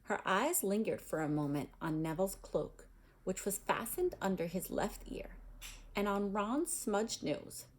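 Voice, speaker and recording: A woman reading a children's novel aloud in an expressive storytelling style. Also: medium pitch, unhurried. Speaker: storybook reader.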